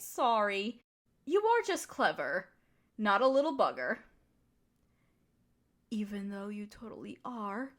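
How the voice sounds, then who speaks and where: Casting: actress as affectionate, softly dominant female partner for intimate audio roleplay; high, very animated, teasing and comforting. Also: full character voice. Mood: playful, teasing. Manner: flirty, soothing, playfully teasing.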